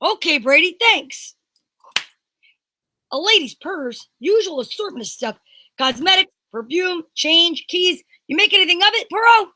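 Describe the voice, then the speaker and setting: Woman as a very high, bright police inspector. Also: animated, measured pace, dry recording, heavily acted. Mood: businesslike. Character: brusque, no-nonsense male police inspector. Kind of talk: amateur old-time radio drama.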